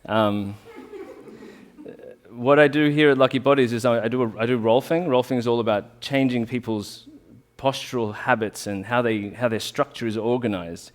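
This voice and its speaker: A man's voice: mid-range voice, steady tone, moderate pace. A man giving an informal talk to a small audience, earnest and reflective, often restarting his sentences. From Australia. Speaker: talk speaker, rolfer.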